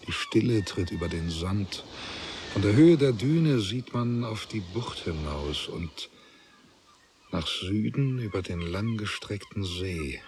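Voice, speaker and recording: A man narrating a literary radio drama in a deliberate, scripted manner. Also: lowish voice, slight background noise.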